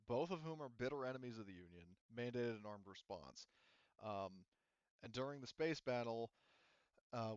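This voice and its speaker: A man running a tabletop game, explaining and narrating in a halting, improvised way with asides. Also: medium pitch, clean tone, no room sound. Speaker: game master. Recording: muffled, dull microphone sound.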